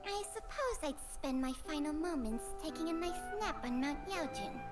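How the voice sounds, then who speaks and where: A woman playing an ancient, wry and world-weary snake spirit in a video game, speaking in a measured, knowing way. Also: high voice, lively intonation, over loud music. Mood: resigned, wry. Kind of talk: video game character acting.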